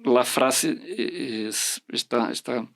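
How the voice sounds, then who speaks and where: A man whose first language is Portuguese, speaking as a podcast guest in a relaxed, reflective way, pausing often with fillers and restarting his sentences. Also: mid-range voice, dry recording. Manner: hesitant, full of fillers and restarts; reflective, earnest. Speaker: podcast guest discussing religious prophecy. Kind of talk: casual conversational explanation.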